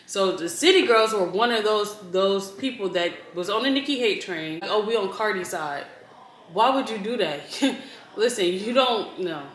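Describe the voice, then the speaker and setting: A woman with a mellow voice hosts a casual sit-down chat, playful and quick to give her opinion. Her voice bounces around an echoey room, with a faint hiss underneath. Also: mid-range voice, expressive. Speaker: vlog host.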